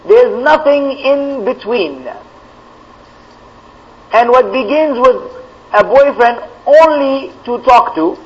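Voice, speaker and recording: A man's voice: high voice, dull audio. A man whose first language is Arabic, answering viewers' religious questions live as a preacher, reading each question aloud and replying firmly, repeating key phrases for stress.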